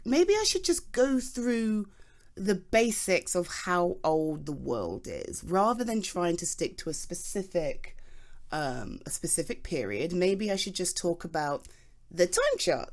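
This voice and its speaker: A woman's voice: fairly low pitch, very animated, unhurried, a little mellow. A British woman playing an enthusiastic, self-deprecating geology nerd in an ASMR roleplay, rambling hesitantly as if chatting to a listener. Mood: hesitant, reluctant. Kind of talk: ASMR voice roleplay, improvised one-sided conversation.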